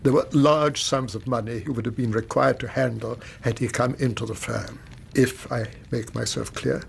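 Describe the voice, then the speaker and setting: An actor playing an anxious, grieving middle-aged English widower and banker in a radio drama, telling his story in a formal, halting, agitated way. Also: mid-range voice, steady tone, gravelly, slight background noise. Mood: regretful.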